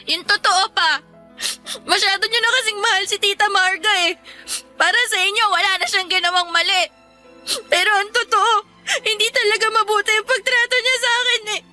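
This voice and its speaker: A woman's voice: very high-pitched, fairly even intonation, moderate pace, pushed and bright, faint music behind, exaggerated voice. An actress playing a hurt, defiant student daughter in a Filipino radio drama, polite but pleading as she talks back. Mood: tearful, angry.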